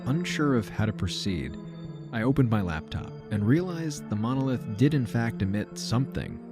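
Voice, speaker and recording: An actor playing an uneasy, wry traveler, narrating a science fiction audio drama in a deliberate, self-mocking storytelling manner. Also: male voice, medium pitch, lively intonation, over loud music, close to an everyday voice. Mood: measured, wondering. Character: uneasy, wry male traveler narrating a simulation-hopping adventure. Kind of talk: scripted first-person audio drama narration.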